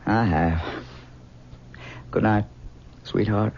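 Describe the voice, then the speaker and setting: A man's voice: on the low side, steady tone, extremely slow. A man playing a haunted young husband who speaks theatrically and stammers under stress in a 1970s radio drama. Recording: dull noisy old radio broadcast.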